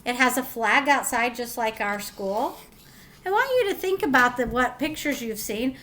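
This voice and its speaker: A woman teacher reading a picture book to young children, expressive and instructive, pausing to ask questions. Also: on the high side. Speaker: teacher reading aloud to pupils.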